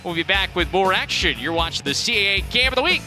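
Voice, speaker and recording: Bright-voiced man calling lacrosse. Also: sits high, sing-song, faint music behind. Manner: brisk play-by-play calls. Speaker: play-by-play commentator. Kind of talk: sports commentary.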